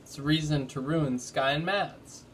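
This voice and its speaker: A sensible older brother in a storybook mystery, read aloud by a man. His voice is slightly high and thoughtful. Faint noise sits behind it. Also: a little slow, lightly acted. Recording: noticeable background noise.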